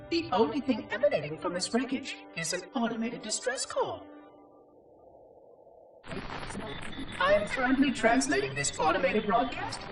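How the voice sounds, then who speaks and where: A man's voice: extremely high pitch, full character voice. A man voicing an ancient, fussy, curious robotic monitor in a video game, with precise, formal, theatrical delivery and a synthetic processed sound. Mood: curious, optimistic. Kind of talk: video game cutscene log narration. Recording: distant, echoing, music underneath. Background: music.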